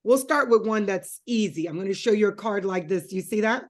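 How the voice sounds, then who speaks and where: A woman's voice: medium pitch, brisk. A woman hosting an interview show, coaxing her guest through a guessing game with patient hints. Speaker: show host.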